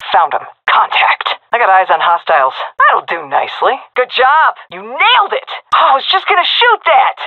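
A woman's voice: high voice, dry recording. Cocky commando woman over tinny radio. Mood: focused, terse. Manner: brash, sardonic, clipped military callouts. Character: tough, cocky, foul-mouthed female navy commando commander. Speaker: video game military character, SEAL frogman commander. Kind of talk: game combat voice lines. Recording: narrow, phone-like radio comms.

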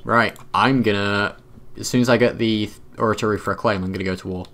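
A man's voice: on the low side, fairly even intonation, a little fast, fairly bright tone, slight background noise. A man casually chatting and bantering with friends over voice chat while playing a strategy game, swearing freely. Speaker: player in a multiplayer strategy game.

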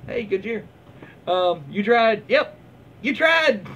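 A middle-aged man casually reading online stories aloud and adding his own comments, in an informal, halting way. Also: high voice, lively intonation, fairly bright tone. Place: small room at home, indoors, seated in armchair. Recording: dull lo-fi home recording, faint noise.

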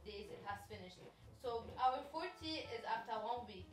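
A young woman chatting casually in a halting way, searching for English words, recorded in a bedroom. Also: fairly high pitch, lively intonation. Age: young adult. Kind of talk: casual chat. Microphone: camera a few feet away. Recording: echoey bedroom with faint music.

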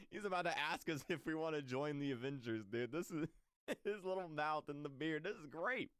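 A man in his twenties giving excitable, jokey reaction commentary, often repeating phrases for emphasis, close on the mic. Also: a little high, normal speed, clear, resonant tone, dry recording. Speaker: reaction video host. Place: indoor room, close to mic. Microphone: mic on arm close to mouth.

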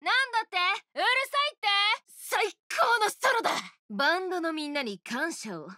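A woman voicing a brash, swaggering female oni rock star guitarist, with rough tough-girl speech in game voice lines. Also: very high voice, very animated, brisk, sharp tone, no room sound, clean studio sound, heavily acted. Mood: cocky. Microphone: studio mic, dry.

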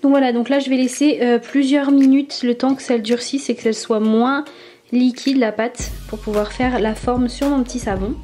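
A young woman in a casual voice-over, explaining each step of a craft toy in a relaxed way with small fillers. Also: fairly high pitch, moderate pace, faint music behind. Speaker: toy review YouTuber.

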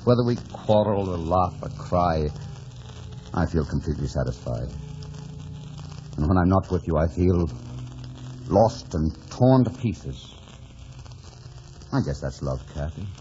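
An actor playing a brooding, passionate lower-class young lover in a radio drama, with intense dramatic delivery. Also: male voice, expressive, measured pace, long pauses, dark tone, a little hiss or hum. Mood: tender, brooding. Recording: dull old radio broadcast with music.

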